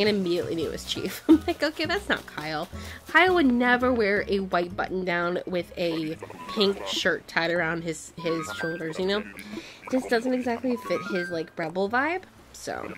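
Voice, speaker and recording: A woman chats through her game stream with playful drama, pleading and protesting in a casual way. Her voice is getting worn out from hours of talking. Clear game music plays under her. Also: medium pitch. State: losing her voice. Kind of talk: casual gameplay commentary.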